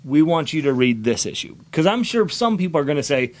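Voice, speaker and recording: A man with a lively, widely swinging pitch hosts a casual vlog. He is upbeat and coaxing, chatting with his partner and turning to the viewers like a friendly gang leader. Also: a little fast. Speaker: host of a comic book video series.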